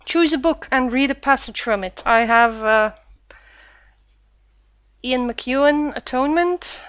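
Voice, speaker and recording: Woman, slightly high voice. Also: a little slow, a bit bright, clear, resonant tone, no echo. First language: Dutch. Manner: hesitant, frequent "uh" fillers, stumbles when reading. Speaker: vlogger. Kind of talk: casual Q&A with read-aloud passage.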